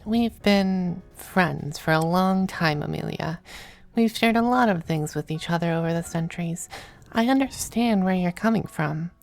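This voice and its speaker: A woman playing a possessive, doting, androgynous male demon lover in an intimate ASMR roleplay, speaking softly and often slipping into whispers. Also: lowish voice. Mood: possessive, firm. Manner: soft, breathy, unhurried, often dropping to whispers. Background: faint music.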